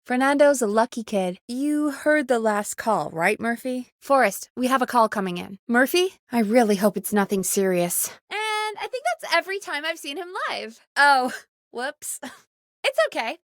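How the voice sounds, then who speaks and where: A woman playing an earnest, wry radio show producer in a video game, speaking in an expressive, conversational way that is supportive but easily exasperated. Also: a little high, normal speed, no room sound, clean studio sound. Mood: warm, relieved. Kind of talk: naturalistic video game dialogue.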